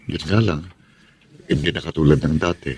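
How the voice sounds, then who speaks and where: A broken husband in a radio drama, his voice deep and heavy with self-pity. He speaks haltingly, ready to sacrifice himself for his family. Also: steady tone, a little mellow, slightly rough. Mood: resigned. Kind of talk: Tagalog radio drama acting.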